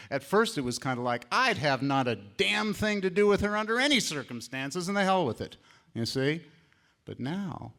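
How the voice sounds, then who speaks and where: A man's voice: medium pitch, a bit bright. A man from California sharing at a seminar, earnest and reflective, with many uhs. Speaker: seminar participant.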